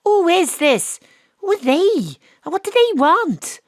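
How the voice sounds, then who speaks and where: A wisecracking female gumshoe, played by a woman, narrates her own misadventures with a dry, wry tone. She bursts into loud exclamations now and then. The recording is dry and close, made in a home studio. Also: very high-pitched, very animated, slow pace, no room sound, heavily acted. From Wales. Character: wisecracking female private eye, adult. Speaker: actor playing a private investigator. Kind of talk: comic noir radio drama.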